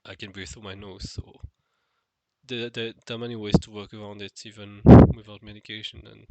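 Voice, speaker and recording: A man's voice: fairly low pitch, level tone, fairly quick, a bit bright, no room sound, poor audio. Nasal-voiced man musing aloud. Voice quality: nasal, stuffed-nose voice. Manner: musing, hesitant, many fillers and restarts. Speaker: vlogger. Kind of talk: unscripted solo monologue.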